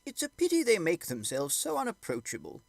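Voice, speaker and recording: A man's voice: a little high, huge pitch swings, a little fast, gravelly, no room sound, pitched above the performer's own voice, heavily acted. A man voicing a cheerful, good-humoured, gossiping male neighbour in an audiobook story. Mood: musing.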